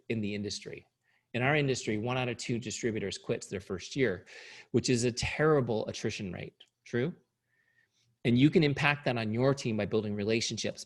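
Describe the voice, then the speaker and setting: A middle-aged man giving a sales training talk, upbeat and coaching, who is starting to lose his voice. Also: mid-range voice. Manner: upbeat, coaching, asks "right?" often. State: losing his voice. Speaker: company founder and trainer.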